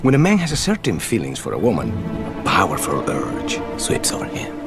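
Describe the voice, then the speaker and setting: An actor voicing a suave, smooth-talking male cat swordsman in an animated film clip, speaking low and seductively. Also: a little fast, exaggerated voice. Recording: film clip with loud music.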